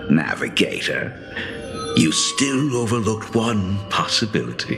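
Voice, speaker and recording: A deep, creaky male villain for a game, posing as someone's father. He savors his taunts with theatrical mockery and wildly swooping delivery. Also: exaggerated voice. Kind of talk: video game voice acting.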